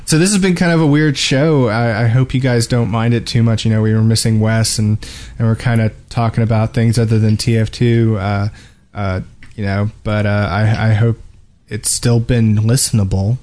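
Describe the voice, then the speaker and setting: Casual male podcast co-host, somewhat halting. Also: lowish voice, steady tone, a little mellow.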